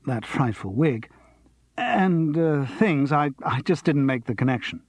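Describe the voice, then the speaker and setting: A man's voice: medium pitch, animated, measured pace, no room sound. A man voicing a wry, somewhat evasive actor in a storybook reading. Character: wry, middle-aged male jobbing actor.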